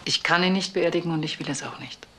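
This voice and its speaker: A woman's voice: lowish voice, fast talker, pushed and bright, slight background noise. A woman playing a tense, unyielding widow in a naturalistic radio crime drama. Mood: tense, guarded.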